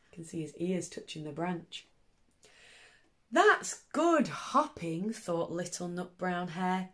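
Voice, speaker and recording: A woman's voice: on the low side, moderate pace. A woman primary teacher reading a picture book to young children, expressively and with questioning asides to them. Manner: animated, asks tag questions, child-directed.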